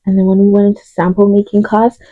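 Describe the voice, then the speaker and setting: A woman's voice: medium pitch, flat delivery, fast, dry recording, narrow, tinny audio. A woman, chatting casually in a vlog about her path into fashion design, with lots of fillers and self-corrections. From Uganda. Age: 20s. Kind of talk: casual vlog storytelling while doing makeup.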